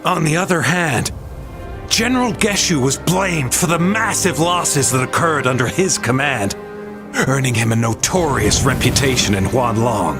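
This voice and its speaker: A man's voice: mid-range voice, expressive, normal speed, lightly acted. A man acting as a grandiose storyteller, delivering dramatic scripted narration of a heroic legend in a game. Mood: solemn, hopeful. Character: theatrical male storyteller recounting a heroic war legend. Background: music.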